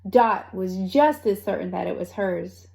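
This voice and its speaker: A woman reading a children's story aloud with expressive narration and dramatic, deliberate phrasing. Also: medium pitch, creaky. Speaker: storybook reader.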